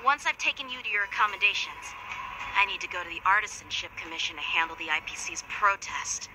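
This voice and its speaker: A woman's voice: mid-range voice, fast talker, noisy background, natural voice. A woman voicing a polite, earnest and dutiful young boy in a video game, courteous and a little hesitant. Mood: dutiful, modest. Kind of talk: English video game voice acting. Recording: music behind the voice.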